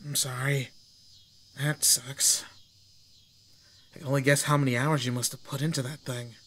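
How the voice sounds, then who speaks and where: A man playing a cocky, teasing teenage delinquent with a soft side, talking casually to the listener in a roleplay audio drama. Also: medium pitch, level tone, a little slow, pauses often, a little hiss or hum, lightly acted. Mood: gentle, sympathetic. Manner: brash, casual, slangy teasing. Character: cocky, teasing teenage male delinquent, protective and sweet underneath.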